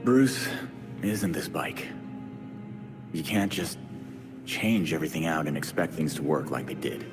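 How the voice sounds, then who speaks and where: A man's voice: very deep voice, expressive, a little slow, long pauses, music in the background. An actor playing a brooding, blunt, world-weary male vigilante in a video game, speaking tersely. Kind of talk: video game cutscene acting.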